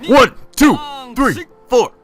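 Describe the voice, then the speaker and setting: A gleefully sadistic mascot bear from a video game, acted out by a man. The delivery is theatrical and swooping, with a bright, sharp edge. It taunts and preens with mock cheer. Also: very high-pitched, sing-song, slow pace, faint music behind, voice pushed up, full character voice. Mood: bossy, energetic. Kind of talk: video game character voice-over.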